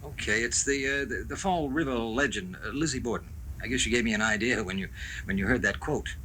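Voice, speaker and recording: A man's voice: mid-range voice, quick pace, bright, forceful tone, lightly acted. A man playing an anxious, eager young writer and fiancé in a 1970s radio drama, with an earnest, hesitant, stammering manner. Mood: evasive, affectionate. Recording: noisy 1970s live radio tape.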